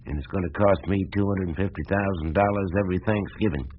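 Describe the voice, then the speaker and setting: An actor playing a proud, folksy older American small-town businessman in a radio drama, with a husky, gravelly voice and a plain-spoken manner. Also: deep voice, fast, dull audio, slight background noise, lightly acted. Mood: probing, shrewd.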